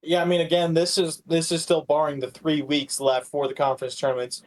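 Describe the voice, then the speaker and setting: A man co-hosting a podcast with casual sports commentary, in a halting way that often repeats words. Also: on the high side, level tone. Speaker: podcast co-host.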